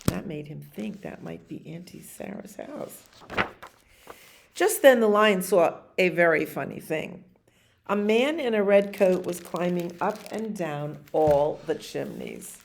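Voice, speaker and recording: Female storyteller, 60s, fairly low pitch, very unhurried, expressive read-aloud with conversational asides to young listeners. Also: gravelly. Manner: expressive, explains pictures, asks listeners questions. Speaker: library storyteller reading picture books to children. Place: library activity room, indoors.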